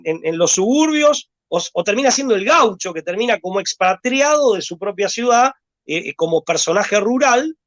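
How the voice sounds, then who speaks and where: A man's voice: high voice, a bit bright, lo-fi sound. A man from Rosario with an Argentine accent, speaking as a guest in a rambling, hesitant way, repeating words and leaning on fillers. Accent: Rosario Argentine Spanish. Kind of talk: discursive conversation.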